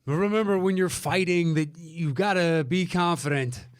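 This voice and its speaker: A man's voice: a little high, moderate pace. A man chatting casually as a co-host, with a sardonic, sweary way of talking. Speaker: co-host of a movie list show. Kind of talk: casual chat.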